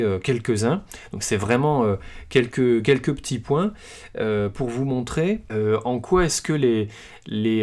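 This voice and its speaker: A man hosting a podcast, talking through a book's ideas in a loose, unscripted way, with frequent pauses and restarts. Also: mid-range voice, a little mellow. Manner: hesitant, many fillers and restarts; self-deprecating humor. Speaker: podcast host presenting a book. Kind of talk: casual spoken book presentation.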